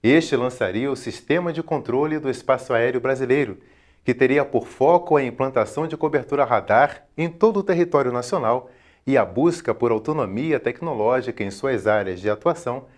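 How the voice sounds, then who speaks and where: A man with a Brazilian accent reading scripted educational documentary narration in a formal, measured, carefully enunciated way. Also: medium pitch.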